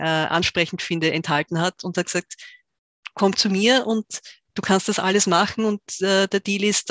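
A woman's voice: fairly low pitch, fairly bright tone, no room sound. A woman from Tyrol, a startup founder talking as a podcast guest, thoughtful, with many fillers and restarts. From Tyrol, Austria. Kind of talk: podcast interview.

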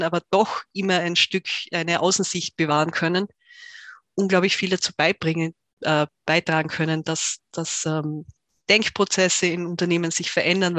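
A woman's voice: fairly low pitch, fairly bright tone, dry recording. A woman from Tyrol, a startup founder talking as a podcast guest, thoughtful, with many fillers and restarts. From Tyrol, Austria. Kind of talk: podcast interview.

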